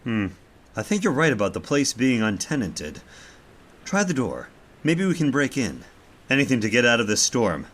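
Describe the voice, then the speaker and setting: An actor playing a dry, unflappable gentleman with a wry, commanding manner in an old-time radio horror drama. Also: mid-range voice, sing-song, moderate pace. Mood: polite, unruffled. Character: dry, unflappable gentleman master sheltering from a storm.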